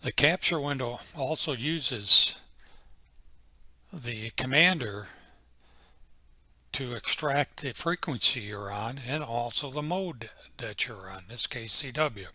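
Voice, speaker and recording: A man giving an informal, unscripted software walkthrough as a tutorial presenter, explaining things methodically step by step. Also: mid-range voice, takes it slowly, no room sound. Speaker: tutorial presenter, amateur radio hobbyist.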